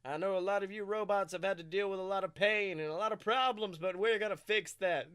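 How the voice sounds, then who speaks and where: A showy smuggler and singer, acted out by a man telling a comic tale. His voice rides high and clear. He declaims like a showman who expects to be followed. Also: quick pace, fairly bright tone. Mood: rousing. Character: showy young male smuggler-singer addressing his crowd.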